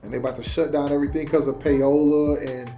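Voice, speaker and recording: A man's voice: fairly high pitch, level tone, fast talker, dark tone, soft background music. A man chatting casually in a slangy, conversational way as host of a reaction show.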